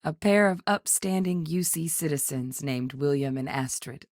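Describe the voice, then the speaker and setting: A woman voice actress playing a determined, principled soldier-scientist in a space adventure video game, speaking earnestly and conversationally with military briskness. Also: low-pitched, darkish tone, no echo, clean studio sound, natural voice. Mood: focused, measured. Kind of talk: acted video game dialogue.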